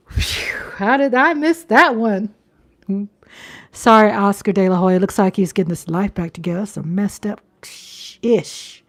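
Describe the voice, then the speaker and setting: Woman in her fifties chatting on livestream. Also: medium pitch. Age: 50s. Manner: conversational, repeats phrases and checks in with "you know". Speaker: livestream host. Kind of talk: casual livestream chat, replying to viewers.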